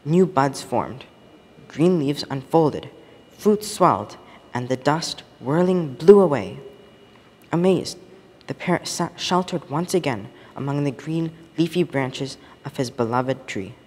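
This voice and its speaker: A teenage young man reads a story aloud in a measured, expressive storybook manner. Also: on the high side, slightly rough. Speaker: student story reader. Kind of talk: scripted storytelling read aloud. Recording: reverberant room with background noise.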